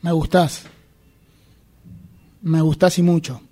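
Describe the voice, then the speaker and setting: A man's voice: on the high side, level tone, slow pace, mellow. A man with a Buenos Aires accent playing a lovestruck, insistent, awkward man in amateur radio theatre, earnest and hesitant. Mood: tender, nervous. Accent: Argentine, Buenos Aires.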